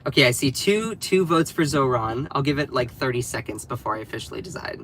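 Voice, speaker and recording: A man with a slightly nasal, stuffed-up voice from a cold hosts a casual political livestream, talking straight to his chat. His pitch sits in an everyday middle range. A faint hiss runs under the recording. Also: lively intonation, fairly quick, a bit bright, rough recording. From North Carolina. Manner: chatty, addresses the live chat directly. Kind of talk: casual live commentary.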